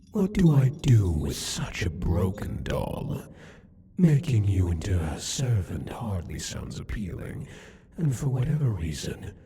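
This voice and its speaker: Man voicing a husky, haughty demon king. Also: fairly low pitch, mellow, full character voice. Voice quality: breathy, husky. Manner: taunting, condescending, deliberate, theatrical. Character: cruel, possessive male demon king, mocking and haughty. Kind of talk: ASMR roleplay, addressed to the listener.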